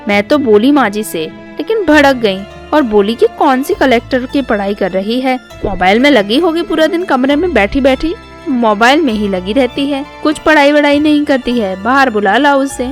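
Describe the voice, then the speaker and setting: Woman voicing a sly gossipy young daughter-in-law, high voice. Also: animated, normal speed, few pauses, soft background music. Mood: sly tattling. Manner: gossipy, feigning innocence.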